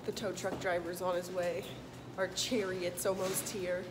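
A woman's voice: on the low side, level tone, a little slow, noisy background. A young woman in her twenties chatting casually to a phone held at arm's length as a travel vlogger, taking setbacks in stride. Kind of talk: casual road-trip vlog chat. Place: motel room, car and outdoors.

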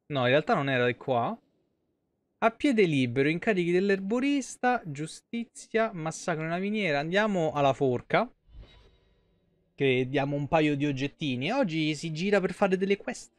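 A man's voice: a little high, lively intonation, moderate pace, no room sound. A man in his thirties giving casual, slangy, sweary and sarcastic live commentary on a game. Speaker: game streamer. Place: small room at home, indoors.

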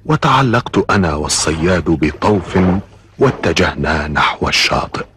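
A man voicing a grave storytelling father in an Arabic cartoon dub, with measured, formal classical diction. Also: low-pitched, animated, fairly bright tone, slight background noise. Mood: grave, narrating.

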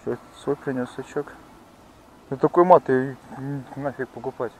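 A man's voice: mid-range voice, moderate pace, darkish tone. A man chatting casually as he films a fishing outing on a pond bank. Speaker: angler filming a fishing outing. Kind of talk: casual chat while fishing. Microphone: handheld camera. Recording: noisy outdoor recording, insect hum.

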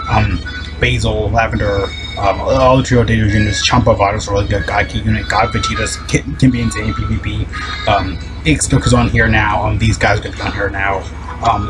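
Man, deep voice, rapid rambling game commentary, lots of fillers, music playing behind. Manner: rapid, rambling talk with many fillers and restarts. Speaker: gaming video host. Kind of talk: casual game news commentary.

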